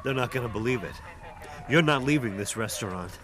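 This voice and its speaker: A man's voice: medium pitch, lively intonation, brisk, crowd noise, natural voice. Male actor as cocksure aging detective. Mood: angry, defiant. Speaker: actor in a radio drama. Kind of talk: radio drama acting.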